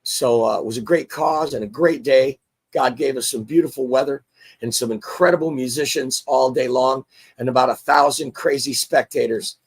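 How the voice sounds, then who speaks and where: A hoarse man hosting a casual sports talk show, chatty and excitable. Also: medium pitch, moderate pace. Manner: chatty, excitable, restarts often. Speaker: show host.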